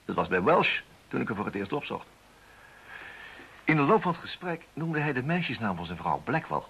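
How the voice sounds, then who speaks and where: An actor playing a brisk, shrewd, insistent detective with a husky voice in a radio play. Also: male voice, medium pitch, very animated, a bit bright, heavily acted, low-quality recording. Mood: explaining.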